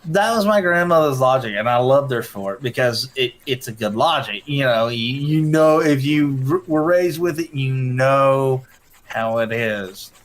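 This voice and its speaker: A man's voice: medium pitch, animated, measured pace. A man chatting casually on a stream in a rambling, jokey way. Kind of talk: casual chat.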